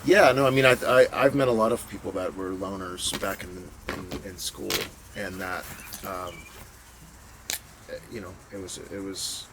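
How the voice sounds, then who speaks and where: A man's voice: low voice, fairly even intonation, measured pace. A young man from the suburbs of Boston, talking casually and reflectively as an interview guest, trailing off and restarting his sentences. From suburbs of Boston, USA. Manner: rambling and reflective, with many restarts. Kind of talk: casual conversation. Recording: noisy home recording.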